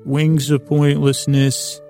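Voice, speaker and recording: A man with a creaky voice hosts a soothing sleep podcast, meandering and stumbling over his words as he rambles along. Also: fairly high pitch, monotone, takes it slowly, warm tone, faint music behind. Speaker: podcast host and bedtime storyteller.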